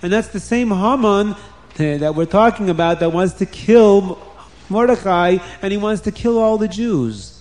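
A man voicing a king's guard in a children's story, earnestly reporting a plot with deliberate emphasis. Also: high voice, a little mellow, pitched above the performer's own voice, close to an everyday voice. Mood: earnest, tattling. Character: earnest royal guard informing the king of a plot.

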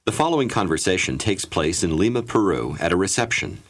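A man narrating a language course in a clear, measured instructional way. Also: deep voice, animated, fairly bright tone. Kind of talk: scripted language-lesson narration.

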